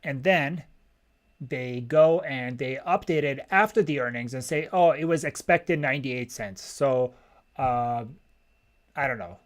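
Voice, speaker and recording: A man's voice: medium pitch, unhurried. A man hosting a livestream as a macroeconomist, giving blunt, opinionated casual commentary and thinking aloud in short fragments. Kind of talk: casual live financial commentary, answering viewer questions.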